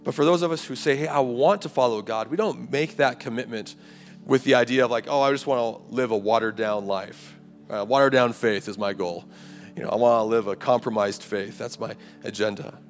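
Man, raspy hoarse voice, casual sermon, medium pitch, reverberant hall. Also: fairly quick. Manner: conversational preaching with rhetorical "right?" and "like". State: hoarse, clearing throat. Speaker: campus ministry preacher. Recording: live hall recording, long reverb, faint music.

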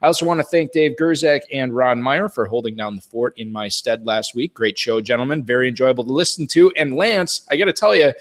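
A man's voice: mid-range voice, fairly quick, hardly any pauses. Upbeat chatty male show host. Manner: upbeat, chatty, reads plugs and contact details. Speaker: podcast host. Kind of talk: casual radio show hosting with sponsor reads. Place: radio studio.

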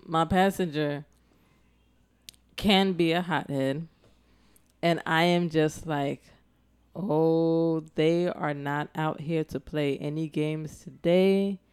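A woman with a lowish, smooth and resonant voice tells a story in relaxed podcast chat. She acts out each line of dialogue as she goes. The sound is dry and close to the mic. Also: no room sound. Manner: animated storyteller acting out dialogue. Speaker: podcast co-host.

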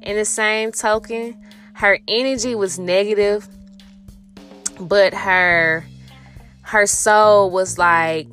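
A woman's voice: medium pitch, fairly even intonation, takes it slowly, pauses often, fairly bright tone, faint music behind. A middle-aged woman telling a personal story in a rambling, reflective way, with many restarts and fillers and a spiritual turn. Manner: rambling, full of "you know" and restarts. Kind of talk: casual spoken storytelling.

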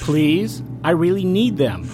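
Man playing a high-pitched, excitable naive guy. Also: unhurried, heavy background noise. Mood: cheerfully oblivious. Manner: excitable, everyday talk. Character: naive, excitable guy among friends, obliviously cheerful. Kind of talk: audio drama acting.

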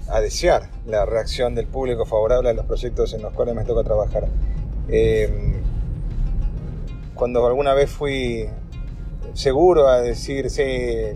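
A man, a TV producer, giving reflective interview answers in a measured, hesitant way. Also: mid-range voice, dark tone, poor audio.

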